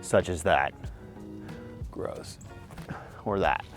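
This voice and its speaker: Slightly hoarse young man, casual outdoor vlog. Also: low-pitched, extremely slow, soft background music. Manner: friendly, self-deprecating, explanatory. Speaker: disc golf video host. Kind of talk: casual tips vlog filmed on the course. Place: outdoors on a foggy disc golf course.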